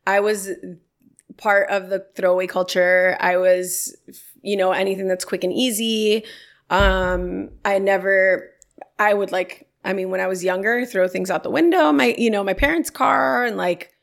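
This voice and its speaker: A woman's voice: medium pitch, fairly even intonation, unhurried, gravelly. A woman in her thirties, telling stories in a casual interview and livening them up when quoting people. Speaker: podcast guest.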